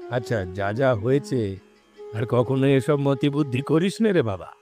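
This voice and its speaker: A man acts out a village landlord in an audio story, stern and patronisingly benevolent. He speaks with great slowness and command in a mid-range voice. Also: mid-range voice, expressive, over music, natural voice. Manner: commanding, slow. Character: stern but condescendingly kind village landlord.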